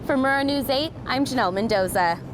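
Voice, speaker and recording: A young woman with a somewhat high voice reads the news as a reporter and anchor. Her delivery is scripted and presentational, in the manner of a TV bulletin. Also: animated, a little fast, heavy background noise.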